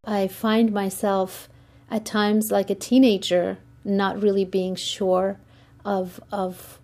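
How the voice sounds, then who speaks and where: A woman in her forties from Iran talks candidly about caring for her father. Her voice is gravelly and mellow, staying in a narrow, even pitch. She pauses and restarts as she searches for words. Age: 40s. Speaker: interviewee, caregiver for her father.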